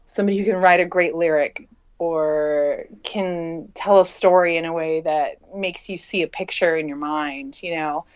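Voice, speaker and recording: A woman's voice: sits low, steady tone, normal speed, smooth. A woman talking informally and casually in a group conversation as a band member. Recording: dull, muffled lo-fi recording.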